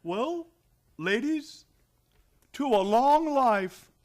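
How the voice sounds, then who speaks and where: An actor playing a wistful, courtly elderly gentleman in a live old-time radio play, theatrical in manner. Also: very high-pitched, expressive, drawn-out words, heavily acted.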